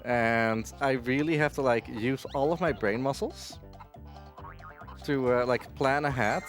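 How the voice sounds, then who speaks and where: A man giving casual live commentary as he plays a game, halting and distracted. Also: medium pitch. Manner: halting, distracted while playing. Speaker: speedrunner. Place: live gaming marathon at a hotel event. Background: faint game music.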